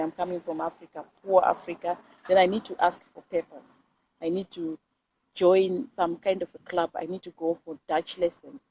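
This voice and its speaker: A woman's voice: fairly low pitch, darkish tone. A woman with a Zimbabwean accent gives an informal motivational talk to camera, speaking emphatically and repeating phrases to press her points. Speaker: host of live videos. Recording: dull lo-fi audio with clear background noise.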